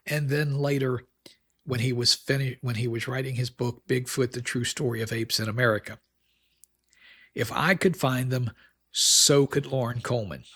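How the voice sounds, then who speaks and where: A man hosting a podcast, delivering semi-scripted commentary in a folksy, wry manner with deliberate phrasing. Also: mid-range voice, fairly bright tone, slightly rough, no echo, clean studio sound. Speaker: podcast host.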